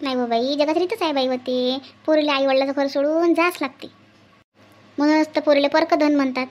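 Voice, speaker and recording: A woman's voice: sits high, fairly even intonation, brisk, a little mellow, lightly acted. A woman voicing a doting, grumbling middle-aged village mother in a cartoon family skit, with a Varhadi Marathi accent. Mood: tender, resigned. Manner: homely, scolding and fussing with rhetorical questions.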